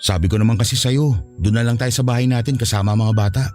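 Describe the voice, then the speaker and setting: Casting: man as placating young husband for radio drama, mellow mid-range voice, brisk delivery. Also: medium pitch, fairly even intonation, faint music behind, natural voice. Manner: conciliatory, reassuring. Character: easygoing, placating young husband and dutiful son. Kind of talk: Filipino radio drama acting.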